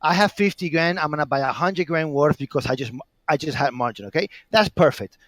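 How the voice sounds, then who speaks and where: A man's voice: fairly high pitch, fairly quick, a bit bright, no echo. A man in his late thirties talks in a slightly raised voice, rambling with many fillers and blunt swearing. The sound is dry and close, with little room around it. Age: 30s. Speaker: guest caller. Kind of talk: casual debate on a stream call.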